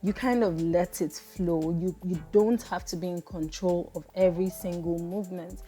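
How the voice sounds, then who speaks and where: A woman's voice: on the low side, fairly even intonation, normal speed, dark tone, faint music behind. A woman in her thirties with a Nigerian accent, chatting to viewers in a reflective, casual voice-over while she makes soap. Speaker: soap maker and channel host.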